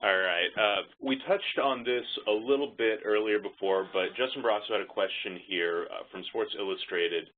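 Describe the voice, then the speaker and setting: A man's voice: fairly low pitch, normal speed, hardly any pauses. A man moderating a press conference call, relaying questions sent in by journalists. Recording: noisy, tinny phone line with clicks.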